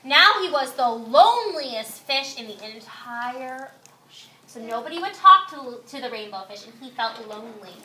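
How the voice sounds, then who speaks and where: A woman with a very high voice reads a picture book aloud to a class of young children. She explains things in simple words and checks in with the listeners. The recording is echoey, with classroom noise and clicks. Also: expressive, moderate pace, pushed and bright. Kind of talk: expressive read-aloud with questions to children.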